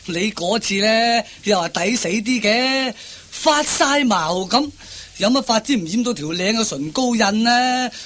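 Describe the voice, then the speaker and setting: An actor playing a teasing, goading Cantonese friend in a radio comedy play, with a needling, emphatic drawl. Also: male voice, sits high, lively intonation, measured pace, fairly bright tone, a little hiss or hum. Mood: mocking. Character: teasing, goading male friend of a henpecked husband.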